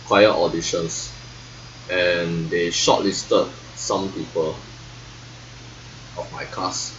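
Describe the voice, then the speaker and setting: A young man in his late teens with a Singaporean accent, rambling casually to his vlog with halting, hesitant pauses. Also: low voice. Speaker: vlogger reviewing school life. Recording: dull, lo-fi sound with faint noise.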